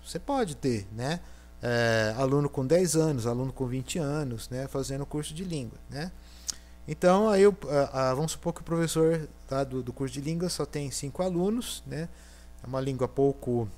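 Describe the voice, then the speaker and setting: A man giving a recorded university lecture with a Brazilian Portuguese accent, thinking aloud and checking in with frequent fillers. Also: on the high side.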